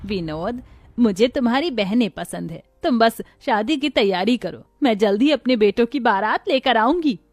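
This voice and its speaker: A woman's voice: on the high side, lively intonation, moderate pace, darkish tone, no echo, faint music behind. A woman voicing a gracious, decisive older mother of grooms in a cartoon story, composed and assured. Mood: kindly approving.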